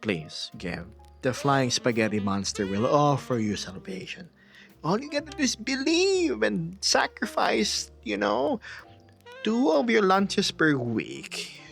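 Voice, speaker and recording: A man playing a theatrical mock preacher, sermonizing in a high, swooping voice. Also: big pitch swings, takes it slowly, gravelly, a bit bright, faint music behind, exaggerated voice. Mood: preachy.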